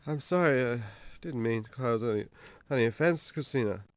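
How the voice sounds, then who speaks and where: An actor playing a troubled, hard-drinking Irish farmer in a naturalistic radio drama, halting and sweary. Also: male voice, medium pitch, dry recording, close to an everyday voice. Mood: awkward, pleading.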